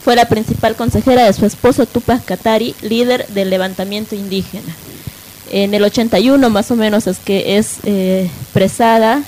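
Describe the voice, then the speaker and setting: A woman presenting on a live radio talk show, halting and often adding tag questions to seek agreement. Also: medium pitch. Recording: noisy live radio with steady hiss.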